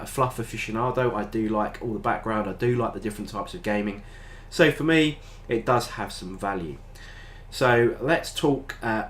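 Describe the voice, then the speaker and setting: British man with a stuffy head cold. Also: on the low side. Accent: British English. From Britain. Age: older. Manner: rambling, frequent ums and uhs. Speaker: tabletop gaming channel host. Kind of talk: casual review talk to camera.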